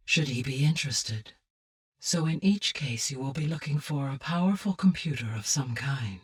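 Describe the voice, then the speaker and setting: A woman playing a calm, caring, erudite artificial intelligence in a video game, speaking formally and precisely in a low, husky voice. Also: recorded from a distance, heavily acted. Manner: formal, measured, precise diction. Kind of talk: scripted video game dialogue.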